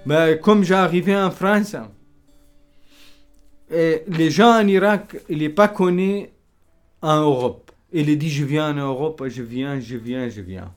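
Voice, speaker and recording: A man's voice: on the high side, slow, clear, resonant tone, faint music behind. A man telling his story in a documentary interview, in broken French with simple repeated phrases.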